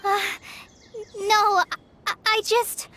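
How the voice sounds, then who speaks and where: A woman's voice: extremely high pitch, little pitch movement, very slow, sharp tone, full character voice. A woman voicing a shy, anxious young girl in a video game, hesitant and apologetic. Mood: nervous, apologetic. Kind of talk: animated video game character acting.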